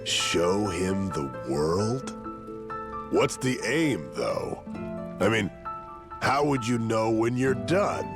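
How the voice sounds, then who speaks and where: A man playing a blunt, hot-tempered veteran Yakuza in a video game, gravelly and confrontational. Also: on the low side, sing-song, extremely slow, pauses often, music in the background, heavily acted. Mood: stern, probing. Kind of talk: video game cutscene acting.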